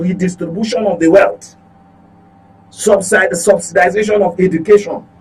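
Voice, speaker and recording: A man's voice: high-pitched, rough recording. A man with a Nigerian accent giving impassioned political commentary as a radio panelist, emphatic, with rhetorical questions and repeated phrases. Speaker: studio panelist on a political radio talk show.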